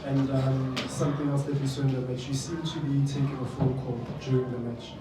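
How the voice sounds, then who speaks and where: A man puts a tentative reporter's question in a flat voice. He is away from the microphone in a strongly echoing room. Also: medium pitch, brisk. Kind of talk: tentative press conference question. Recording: echoey noisy room, far from mic.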